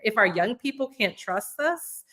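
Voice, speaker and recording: Woman youth-program leader, bright, tense tone, easygoing chatty delivery full of fillers. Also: a little high, expressive, a little slow. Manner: casual, many "like" fillers, thinks aloud. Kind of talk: conversational panel discussion.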